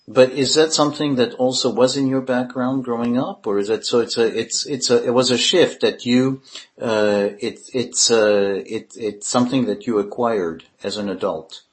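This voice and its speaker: A man's voice: medium pitch, moderate pace. A man interviewing, asking hesitant questions with many restarts.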